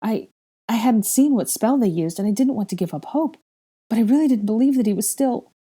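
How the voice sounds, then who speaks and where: Young witch heroine for an audiobook, read by a woman: desperate, sincere, urgent, mellow in tone. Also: fairly high pitch, animated, a little fast, slightly rough, pitched above the performer's own voice. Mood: miserable, shocked. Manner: pleading, urgent, troubled.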